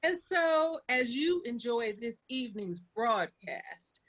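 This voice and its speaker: A woman's voice: fairly high pitch, very animated, slow pace, a bit bright, exaggerated voice. A woman playing a vain, coy businesswoman diva in a theatrical comic radio monologue. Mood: coy, persuasive. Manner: pompous, coy, self-adoring.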